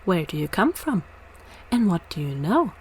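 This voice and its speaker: A woman's voice: fairly low pitch, sing-song, normal speed, poor audio. A woman voicing a curious, eager little mouse in a storybook reading, with background noise. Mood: curious, chatty. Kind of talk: storybook character voice.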